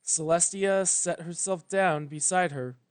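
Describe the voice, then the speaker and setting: A storyteller gives a scripted, dramatic narration. The delivery is slow and solemn with measured pauses, in a medium-pitched voice, recorded dry. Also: smooth, no echo. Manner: measured, solemn, pausing between phrases.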